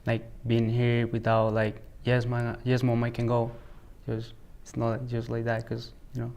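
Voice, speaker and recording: A man's voice: mid-range voice, monotone, vocal fry, a little mellow. Teenage boy with a Salvadoran accent. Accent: Salvadoran Spanish. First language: Spanish. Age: teens. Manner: halting, many fillers like "like" and "you know". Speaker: high school student. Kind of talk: interview answers. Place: school, indoors.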